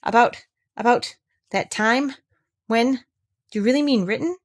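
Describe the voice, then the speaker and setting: A woman's voice: fairly high pitch, a little slow. A woman voicing a small, timid male piglet from a children's storybook, bashful and hesitant. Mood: bashful, flustered. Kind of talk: children's storybook read aloud.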